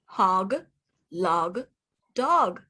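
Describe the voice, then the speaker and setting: A woman reading aloud to young children as a teacher, pronouncing words deliberately one by one and putting questions to the listener. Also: medium pitch, lively intonation, pushed and bright. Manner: deliberate word-by-word enunciation, asks the listener questions. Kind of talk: read-aloud phonics lesson for children.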